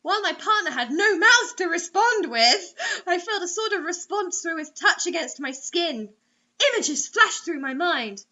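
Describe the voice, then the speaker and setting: A woman's voice: very high voice, lively intonation, normal speed, sharp tone. A woman reading a story dramatically as a host, breaking in with sarcastic, mocking asides. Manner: theatrical, sarcastic. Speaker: host reading bad online fiction aloud. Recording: dull lo-fi recording.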